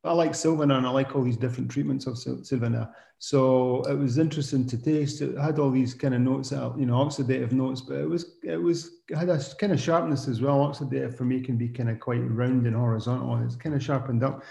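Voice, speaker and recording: A middle-aged man with a Scottish accent, chatting casually as a podcast guest, relaxed and rambling with frequent restarts. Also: mid-range voice, fast, vocal fry, hardly any pauses, roomy sound. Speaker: guest, wine blogger. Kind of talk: casual conversation.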